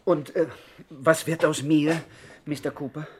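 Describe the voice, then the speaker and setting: Schauspieler als ängstlicher kleiner Ganove mit höherer Stimme. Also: male voice, on the high side, steady tone, measured pace, crowd noise, close to an everyday voice. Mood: anxious. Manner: nervous, hesitant, obsequious, whispering at times. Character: timid, fearful little male gangster, anxious and superstitious. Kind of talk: 1960s German radio crime comedy acting. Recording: faintly noisy 1960s radio recording.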